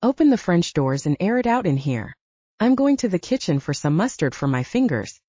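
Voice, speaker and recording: A woman reading aloud, voicing a calm, protective male werewolf who speaks in a level, reassuring way. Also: high voice, a little fast, dry recording. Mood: commanding. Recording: dull lo-fi dry sound.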